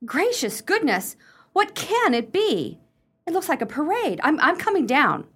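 A woman's voice: high voice, big pitch swings, measured pace, fairly bright tone, slight background noise, exaggerated voice. A woman playing a watchful, wry sister in a comic period radio play, declaiming theatrically. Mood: excited lookout.

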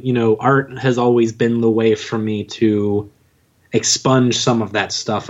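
A man's voice: mid-range voice, darkish tone, lo-fi sound. A man in his twenties chatting casually as an interview guest, modest and informal in how he talks. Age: 20s. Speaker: podcast guest, audio drama writer and narrator.

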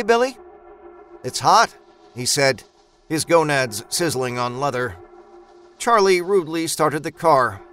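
Guy doing dramatic horror audiobook narration, kinda high voice, music underneath. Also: fairly bright tone. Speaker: storyteller. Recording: music bed behind narration.

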